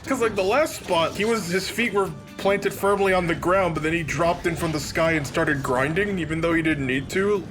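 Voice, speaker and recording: A man with a fairly high-pitched voice chats casually as he commentates on a game. Loud video game music runs behind him. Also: moderate pace, hardly any pauses. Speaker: let's play commentator.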